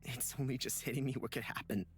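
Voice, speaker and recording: A man's voice: medium pitch, fairly even intonation, fast talker, soft background music, close to an everyday voice. A man voicing an earnest, anxious young man in a visual novel game, sincere and hesitant. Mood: scared.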